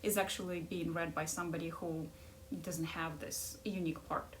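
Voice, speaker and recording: A woman's voice: low voice, little pitch movement, moderate pace, recorded from a distance, a little hiss or hum. A middle-aged woman with a Ukrainian accent, discussing a book and coaching listeners in an encouraging way, often checking in with "right?". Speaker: life coach and podcast host.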